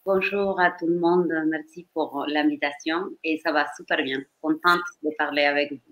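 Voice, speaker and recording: Argentine woman in her fifties, low voice. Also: steady tone, normal speed, fairly bright tone. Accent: Argentine Spanish. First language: Spanish. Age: 50s. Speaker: dancer and choreographer. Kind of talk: interview answers.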